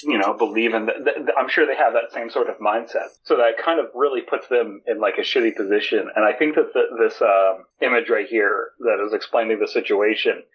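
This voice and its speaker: A man co-hosting a podcast in casual discussion, halting as he talks, over dull, degraded voice-call audio. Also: lowish voice, breathy edge. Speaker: podcast co-host.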